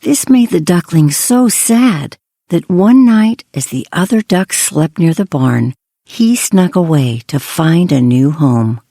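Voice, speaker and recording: Casting: female children's narrator, scripted fairy-tale reading, mellow tone, lively intonation. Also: on the low side, slow, dry recording, studio quality.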